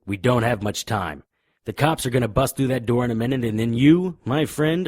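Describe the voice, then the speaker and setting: A man's voice: on the low side, a little mellow, husky, no room sound, clean studio sound. A man playing a tough, sardonic private investigator in a noir radio drama, both narrating and speaking in scenes. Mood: urgent. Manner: hard-boiled, sardonic. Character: tough, defiant, wry male private investigator. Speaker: lead actor and narrator.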